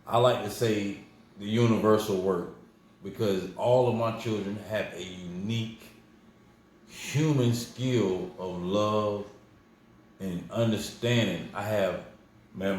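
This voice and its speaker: A man's voice: lowish voice, takes it slowly, darkish tone. A man in his forties telling his life story in a casual interview, rambling and repeating words, proud when he talks about his family. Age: 40s. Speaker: guest. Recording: very echoey room with faint noise.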